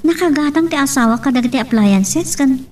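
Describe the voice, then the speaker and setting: Woman acting a plaintive, high-pitched daughter. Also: animated, brisk, darkish tone, distant mic, soft background music. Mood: concerned. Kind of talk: Ilocano audio drama acting.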